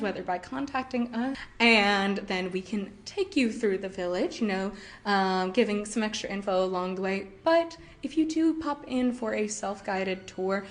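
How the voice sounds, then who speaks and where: Young woman, lively museum guide. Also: mid-range voice. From Cow Island, Louisiana. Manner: lively, with frequent fillers and laughs. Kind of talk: interview answers. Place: indoors at a heritage village, on location.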